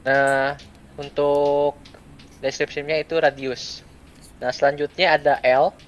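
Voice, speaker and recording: A young adult man gives a step-by-step software tutorial, speaking in short, halting phrases with pauses. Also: a little high, flat delivery. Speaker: student presenter giving a software tutorial. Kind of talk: step-by-step screen tutorial, unscripted. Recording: clear background noise.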